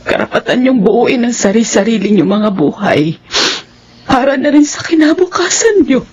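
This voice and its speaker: An actor voicing a gentle, devout older stepmother in a radio drama, soft-spoken and pious. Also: very high-pitched, very animated, moderate pace, a little hiss or hum. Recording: dull lo-fi radio recording. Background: faint music.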